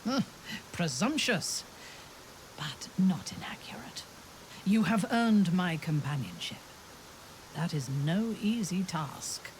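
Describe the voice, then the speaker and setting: A woman playing a proud, fierce dark elf warrior in a fantasy video game, speaking in a formal, deliberate, imperious way. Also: fairly low pitch, animated, a little hiss or hum, poor audio. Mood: haughty. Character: proud fierce female drow warrior, devoted lover.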